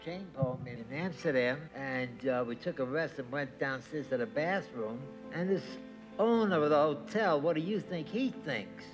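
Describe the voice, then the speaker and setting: A man casually telling an animated anecdote. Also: on the high side, moderate pace, dull audio. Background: music.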